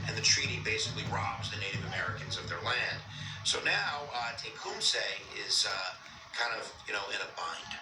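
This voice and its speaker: A man talks through a history tale in a loose, rambling way, full of fillers and offhand jokes. His voice sounds roomy and comes from a distance, with clear music underneath. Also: medium pitch. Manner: rambling, filler-heavy, joking asides. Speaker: history storyteller. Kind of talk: casual history explainer.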